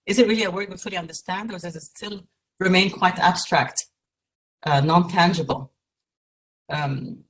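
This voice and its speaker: A woman from Egypt gives a keynote lecture over a video call. Her voice sits fairly low, and she speaks in a measured way, pausing on fillers and restarts. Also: fairly bright tone, low-quality recording. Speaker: keynote speaker, patient advocate.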